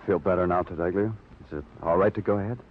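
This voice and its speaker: An actor playing a terse, world-weary, somber Broadway detective in a radio noir drama. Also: male voice, deep voice, fast talker, a little hiss or hum, natural voice. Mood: dry, terse. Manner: clipped, hard-boiled, somber. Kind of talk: 1950s radio noir acting and narration. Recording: muffled old radio recording.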